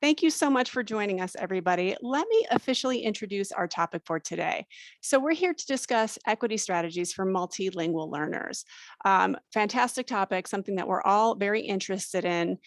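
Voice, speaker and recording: A woman's voice: medium pitch, animated, a little fast, dry recording. A woman hosting a webinar, introducing the speaker over a video call in an upbeat way, with frequent ums and restarts. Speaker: webinar host.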